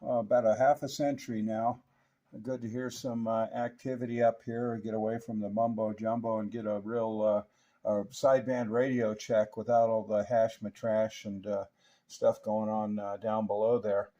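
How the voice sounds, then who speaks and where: Mellow, folksy older American man, dull audio. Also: on the low side, little pitch movement, normal speed. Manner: folksy, chatty, jargon-filled. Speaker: CB radio operator and radio restorer. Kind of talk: casual radio check-in chat using CB jargon.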